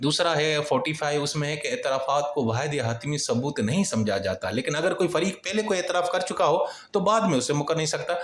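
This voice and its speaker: A man's voice: on the high side, lively intonation, brisk, hardly any pauses, fairly bright tone. A man with a Pakistani accent giving an informal exam-prep lecture, explaining point by point in a conversational way with everyday examples. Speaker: law lecturer. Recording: echoey, reverberant room sound.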